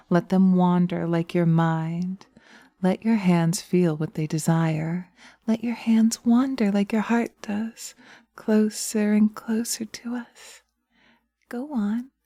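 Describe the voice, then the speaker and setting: Woman acting as a seductive witch, lowish creaky voice, dominant and taunting, intimate ASMR hypnosis delivery, dry close binaural sound. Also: dry recording. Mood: whispered, coaxing. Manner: sultry, slow, hushed, often dropping to a whisper. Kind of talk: slow hypnosis roleplay, ASMR. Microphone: close binaural microphone.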